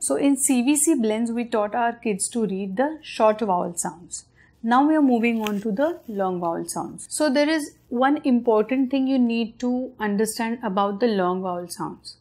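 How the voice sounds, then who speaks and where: A woman's voice: fairly high pitch, darkish tone. Patient Indian-accented woman teaching parents. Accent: Indian English. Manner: friendly, patient, step-by-step, with fillers and self-corrections. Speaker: parenting channel host. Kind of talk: explaining a home reading method to parents on camera. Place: living room at night in front of a large window.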